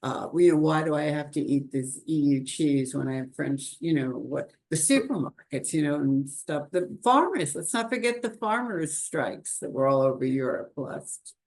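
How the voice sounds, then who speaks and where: Creaky gravelly panelist rambling on a video call, laughs a lot. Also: mid-range voice, expressive, moderate pace, hardly any pauses, a little mellow. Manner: chatty, laughs often, rambling restarts. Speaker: webinar panelist. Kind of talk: conversational panel discussion. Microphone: computer mic on a video call.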